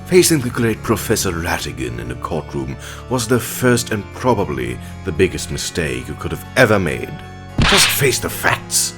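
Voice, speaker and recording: An actor playing a pompous, scornful villain professor in an audio drama, grandiose and condescending as he argues a prosecution. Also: deep voice.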